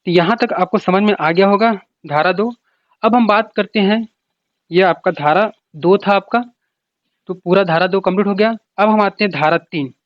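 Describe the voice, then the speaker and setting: Man, high-pitched voice, coaching teacher, informal explanations, repetitive question-and-answer delivery, dry room, dull lo-fi audio. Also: normal speed, no room sound. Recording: dull lo-fi sound.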